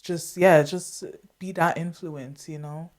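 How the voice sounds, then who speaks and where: A woman's voice: low voice, steady tone, slow pace, a little mellow. A woman in her twenties co-hosting a podcast, talking informally and backing others up with frequent "yeah"s. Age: 20s. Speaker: podcast co-host. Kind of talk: casual conversational chat.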